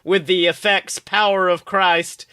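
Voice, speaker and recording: A woman's voice: on the low side, measured pace, clean tone, no echo. A young woman co-hosting a comedic podcast, chatting casually, acting out quotes and swearing freely as she tells stories. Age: young adult. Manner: animated storytelling, swears freely, acts out quotes. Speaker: podcast co-host.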